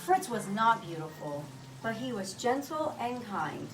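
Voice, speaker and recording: A woman reading a children's picture book aloud with expressive, deliberate storytelling delivery. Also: mid-range voice. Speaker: storybook reader. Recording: roomy echo, distant mic, music behind.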